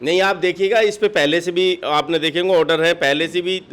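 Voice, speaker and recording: A man's voice: high voice, fairly even intonation, fairly quick, a bit bright, smooth. A man giving impassioned interview answers as a political official, insistent and argumentative, often firing off rhetorical questions. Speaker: political party district official being interviewed.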